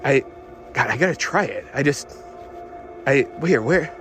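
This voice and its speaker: An actor playing an obsessed, increasingly unhinged car tinkerer recording a rambling, halting audio diary for an audio drama. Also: male voice, medium pitch, animated, a bit bright, soft background music. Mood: eager, fretful. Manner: halting, nervous, repeating himself, muttering fixations. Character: obsessed man secretly lowering his car, increasingly unhinged.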